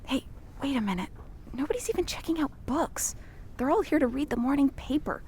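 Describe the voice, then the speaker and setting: A woman's voice: sits high, animated, a little fast, darkish tone, a little hiss or hum. A woman playing an earnest, easily outraged reporter who speaks emphatically in an audio drama. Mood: determined. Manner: emphatic, righteous, wordy.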